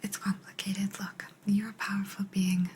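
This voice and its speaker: A woman voicing an aloof, secretive alien abductor in a soft, breathy, unhurried whisper for an ASMR sci-fi roleplay. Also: medium pitch, little pitch movement, a little hiss or hum, natural voice. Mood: patient, explaining. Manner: unhurried, hushed, personal attention. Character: aloof female alien abductor, calm, secretive, gently apologetic.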